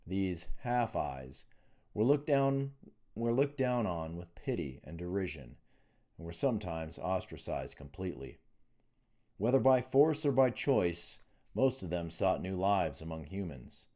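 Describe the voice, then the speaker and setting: Man, low voice, dark mellow timbre, scripted fantasy audiobook narration, author reading. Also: sing-song, a little slow. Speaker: author reading own book.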